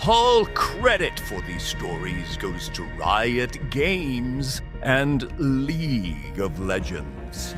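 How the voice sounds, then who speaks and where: A man playing a fatherly but menacing rebel mage leader in a dramatic audio drama, speaking slowly and emphatically. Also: medium pitch, wildly swooping pitch, over music, pitched above the performer's own voice, heavily acted. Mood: rallying. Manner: slow, deliberate, emphatic delivery.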